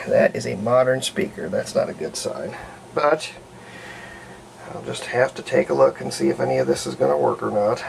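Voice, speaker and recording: A man's voice: medium pitch, gravelly, slight background noise, poor audio. A man with a folksy way of talking narrates hands-on repair work casually, thinking aloud. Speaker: radio restoration hobbyist.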